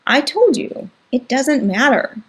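A woman voicing an irritable, tough teenage girl who talks to her younger brother in a sharp, exasperated way. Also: sits high, sing-song, a little slow, breathy edge, pitched above the performer's own voice, heavily acted, low-quality recording.

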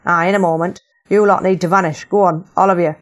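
A woman's voice: fairly low pitch, fairly even intonation, quick pace, natural voice. A woman voicing a blunt, tough older rebel leader, in an audiobook. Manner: blunt, gruff dialect.

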